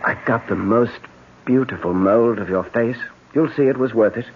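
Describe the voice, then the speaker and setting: A man's voice: medium pitch, moderate pace, natural voice. A man playing a controlling, patronisingly reassuring husband in a 1970s radio drama. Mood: amused, indulgent. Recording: muffled, hissy 1970s radio broadcast.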